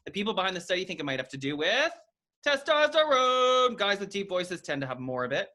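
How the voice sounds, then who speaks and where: Co-host, young man, bright lively voice, playful teasing delivery. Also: high voice, brisk. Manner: playful, teasing, laughs often. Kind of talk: casual podcast chat.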